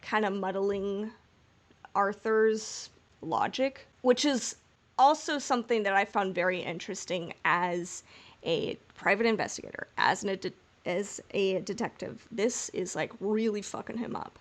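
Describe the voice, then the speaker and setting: A woman's voice: medium pitch, unhurried. A woman podcast co-host chatting casually in a playful, informal way about something she follows as a fan.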